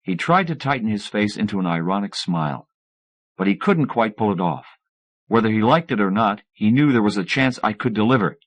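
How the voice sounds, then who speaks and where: Male audiobook narrator, middle-aged, slightly low voice, scripted first-person fiction. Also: lively intonation, fairly quick.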